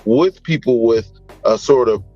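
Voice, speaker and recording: A man's voice: medium pitch, measured pace, dark tone, muffled recording, faint music behind. A man on a livestream panel, talking through numbers in a loose, rambling way full of "you know" fillers. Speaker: panel guest. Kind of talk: casual livestream panel talk.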